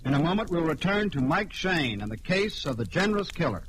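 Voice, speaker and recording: A man announces in the dramatic style of 1940s radio. His voice is a little high and declamatory. Loud music plays behind him on an old broadcast recording. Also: big pitch swings, brisk, low-quality recording. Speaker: radio show director and announcer.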